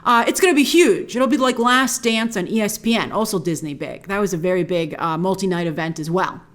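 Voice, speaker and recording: A woman hosting an entertainment reaction show, giving excitable, emphatic, chatty and informal commentary. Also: medium pitch, fairly quick.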